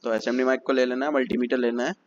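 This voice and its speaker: Guy walking thru a phone repair lesson, slow and methodical, kinda dull recording w/ faint hiss. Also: medium pitch, little pitch movement. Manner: methodical, repeats each step plainly. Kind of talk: step-by-step technical lesson.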